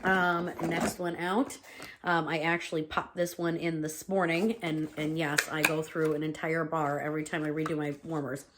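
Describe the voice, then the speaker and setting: A woman with a low voice, stuffy and congested from a cold, talks casually at home about her favorite scents. She is chatty and loose, filling her sentences with "um" and "like." Faint noise sits behind her. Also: little pitch movement, moderate pace. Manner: chatty, full of "um" and "like". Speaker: vlogger reviewing home fragrances. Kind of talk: casual product review chat.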